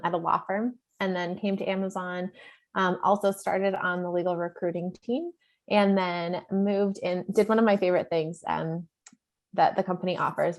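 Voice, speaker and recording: Lowish-voiced woman on a zoom panel, chatty, excited. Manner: bright, enthusiastic, chatty. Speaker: HR partner, panelist. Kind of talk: casual panel introduction. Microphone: video call.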